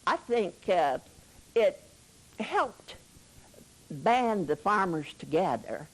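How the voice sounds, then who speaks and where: An older woman reminiscing casually in an interview, halting and pausing often. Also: mid-range voice, big pitch swings, low-quality recording. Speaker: interviewee sharing recollections.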